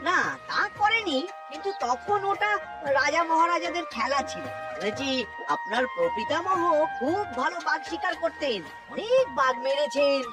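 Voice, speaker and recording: A scheming male court minister in a cartoon. The voice is very high and animated, eager and argumentative in manner. It sounds a little off the mic, with music behind it. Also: moderate pace, recorded from a distance, low-quality recording. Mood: explanatory. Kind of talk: cartoon voice acting.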